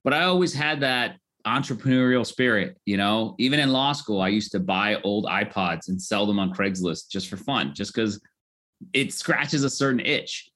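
Lower-voiced American man being interviewed. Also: expressive, moderate pace, a bit bright. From Southern California, United States. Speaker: interview guest, lawyer and tech CEO. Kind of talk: casual interview storytelling.

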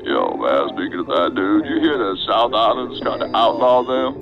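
A man's voice: on the low side, sing-song, normal speed. A man playing an easygoing stoner roommate who talks in drawling slacker slang on a phone call. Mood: casual, curious. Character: laid-back male slacker stoner roommate. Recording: dull phone call, music behind.

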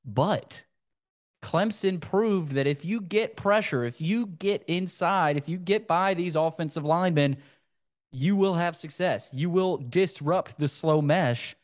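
Male sports podcaster, higher-pitched, conversational football breakdown, repeats himself for emphasis. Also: measured pace.

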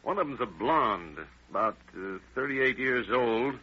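An actor playing an easygoing police detective in a 1940s radio crime drama, talking in casual cop slang. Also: male voice, medium pitch, expressive, measured pace, breathy edge. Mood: matter-of-fact. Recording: muffled, hissy old radio recording.

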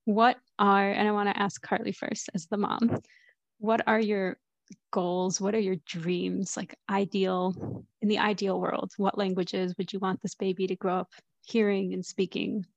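A woman in her thirties hosts a casual interview, prompting her guests with encouraging questions. Her voice stays flat and carries a gravelly fry. The recording sounds dry and close. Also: mid-range voice, unhurried, no echo, muffled recording. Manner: encouraging, asks follow-up questions. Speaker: podcast host.

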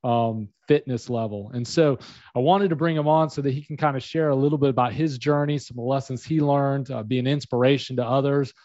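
A man's voice: mid-range voice, steady tone, brisk, darkish tone, no room sound. Male interview host, rambling and filler-heavy, dull video-call sound. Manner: rambling questions full of fillers.

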